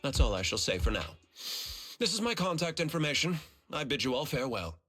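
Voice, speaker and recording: An actor playing a formal, smug company executive in a video game, pompous and courteous with veiled menace. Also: male voice, a little high, sing-song, fairly quick, a bit bright, faint music behind. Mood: smoothly warning.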